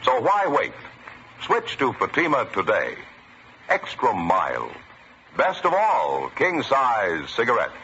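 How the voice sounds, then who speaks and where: A man's voice: mid-range voice, wildly swooping pitch, slow pace. A man announcing an emphatic commercial in 1950s radio style. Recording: muffled vintage radio recording, faint noise.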